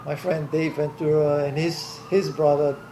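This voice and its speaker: A man's voice: a little high, level tone, normal speed, warm tone. An older man from Hawaii, answering questions in an oral history interview, blunt and plainspoken, laughing easily. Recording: echoey room with faint hiss.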